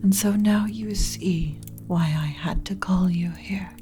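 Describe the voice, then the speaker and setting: Casting: ancient undead lich queen played by a woman, solemn and lovesick, low-pitched and very slow, whispery, intimate ASMR roleplay delivery. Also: dark tone, slight background noise. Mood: longing, confessional. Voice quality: breathy, whispery. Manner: slow, intimate, dramatic, formal. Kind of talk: ASMR romantic roleplay monologue. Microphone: close ASMR mic. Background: music.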